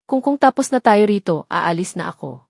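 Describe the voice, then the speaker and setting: A woman's voice: mid-range voice, expressive, vocal fry, darkish tone, no echo. Woman acting a shaken, heartbroken wife, dry sound. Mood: shaken, hurt. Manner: halting, trembling. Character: heartbroken young wife, wounded and holding herself together.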